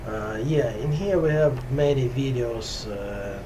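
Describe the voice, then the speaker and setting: A man from Russia speaking English with a Russian accent, talking slowly and hesitantly with many pauses and fillers in a casual spiritual talk to camera. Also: medium pitch, background noise. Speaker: yoga teacher. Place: top-floor room at home on a hot day.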